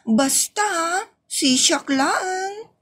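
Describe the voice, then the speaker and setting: A woman's voice: extremely high pitch, lively intonation, drawn-out words, fairly bright tone, exaggerated voice. A woman with a northern Philippine accent playing a jealous, nagging, whiny young wife in a comedy audio drama. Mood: defensive.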